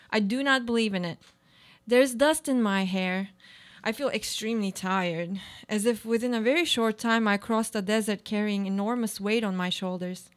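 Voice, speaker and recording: A woman playing a weary, cynical aging housewife in a deliberate, declamatory radio play monologue. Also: a little high, fairly bright tone. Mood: bitter, mocking. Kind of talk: live radio play monologue, read from a script.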